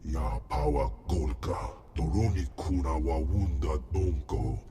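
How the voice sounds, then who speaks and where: An actor playing a huge male alien Hutt crime boss, speaking an invented alien language in a booming guttural, self-important way for a video game. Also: unhurried, background noise. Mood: ponderous.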